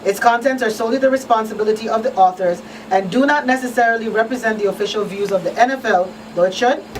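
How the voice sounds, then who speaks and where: Higher-voiced woman hosting, slightly distant mic. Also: quick pace. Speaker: talk show host. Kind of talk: conversational live talk show hosting. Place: live talk show with phone-in callers. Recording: distant mic with background noise.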